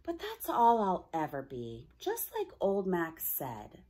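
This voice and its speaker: A woman's voice: lowish voice, wildly swooping pitch, takes it slowly, darkish tone, exaggerated voice. A woman voicing a small, earnest, downhearted streetcar in a children's storybook, wistful and plaintive. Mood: dejected.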